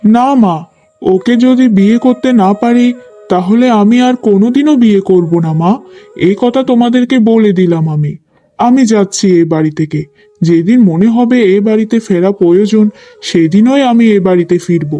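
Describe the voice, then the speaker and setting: A man's voice: sits high, normal speed, warm tone, close to an everyday voice. A man playing an earnest, stubborn young landlord's son in love, in a melodramatic cartoon story. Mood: defiant. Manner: earnest, insistent. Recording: music under the voice.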